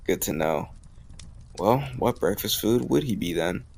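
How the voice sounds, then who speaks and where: A man acting as a smitten college guy with a low voice and plenty of gravelly fry. He talks in a teasing, confident, intimate way, as in a romantic audio roleplay. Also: lively intonation, a little slow, a little mellow, heavily acted. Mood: playful, teasing. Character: bold, teasing young male college student, smitten romantic.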